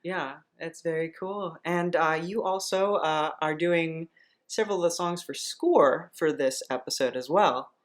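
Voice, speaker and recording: Low-pitched woman hosting a casual podcast. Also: a little slow. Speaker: podcast host. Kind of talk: casual interview.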